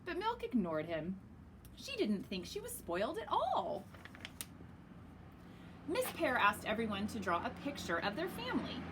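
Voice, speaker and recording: A woman narrates a children's picture book with great expression. Her voice sits fairly high and swings widely in pitch. The recording has clear background noise. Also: a little slow, long pauses. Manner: very expressive, emphatic. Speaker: storyteller reading a picture book. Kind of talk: animated read-aloud narration for children.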